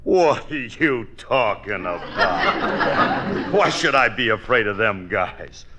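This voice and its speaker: An actor playing an insecure, boastful bandleader husband in a 1950s radio comedy, with brash, folksy, ungrammatical speech. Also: male voice, fairly low pitch, big pitch swings, takes it slowly, over a noisy crowd. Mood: indignant, worried. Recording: noisy old radio comedy recording.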